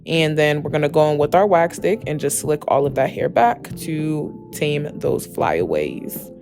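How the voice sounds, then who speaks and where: Young woman doing a casual how-to voiceover, bit raspy n hoarse, chatty, soft music behind. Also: deep voice, lively intonation, measured pace. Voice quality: slightly raspy. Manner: chatty, emphatic, addresses viewers familiarly. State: slightly hoarse voice. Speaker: beauty tutorial host. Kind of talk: casual voice-over tutorial. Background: background music with guitar.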